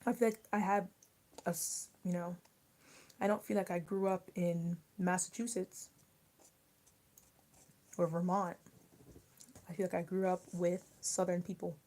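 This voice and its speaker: Young woman chatting softly on a livestream. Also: lowish voice, little pitch movement, a little slow, pauses often. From US mid-Atlantic, rural border area. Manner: rambling, drops to soft near-whispers. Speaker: livestream host. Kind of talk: casual chat with live viewers while eating.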